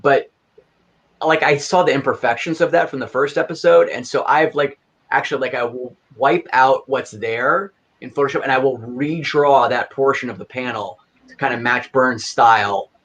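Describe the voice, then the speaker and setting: A man's voice: medium pitch, normal speed, a bit bright. A man chatting casually as a guest, earnestly explaining his process in detail with many filler words. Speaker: fan audio comic creator, guest.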